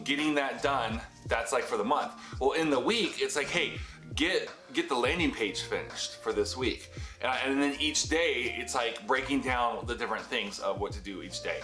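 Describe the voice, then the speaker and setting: A bright, forceful male voice in his thirties, talking straight to the camera in a rambling, self-correcting way. The room rings with noticeable echo, and a little music sits faintly underneath. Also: mid-range voice. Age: 30s. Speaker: business vlogger. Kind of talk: casual talking-head vlog. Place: home office room. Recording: echoey room with light music. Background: heater humming.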